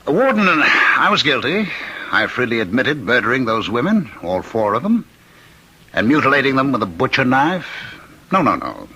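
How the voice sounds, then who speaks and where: An actor in a radio drama playing a cultured, eerily courteous condemned murderer who was once a professor, speaking in deliberate, theatrical phrases. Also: male voice, mid-range voice, sing-song, pushed and bright, slightly rough, heavily acted, poor audio. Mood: polite, eerie calm.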